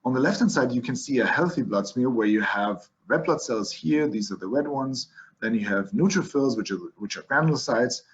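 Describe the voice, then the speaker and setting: German-accented male physician lecturing, mid-pitched, restarts a lot, slightly muffled lo-fi audio. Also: lively intonation, a little fast. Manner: explanatory, frequent restarts and fillers. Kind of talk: medical lecture over slides.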